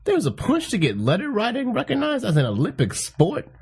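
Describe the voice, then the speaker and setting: Lively-voiced joking man. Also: sits high, brisk, gravelly, breathy edge. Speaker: podcast co-host. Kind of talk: casual chat.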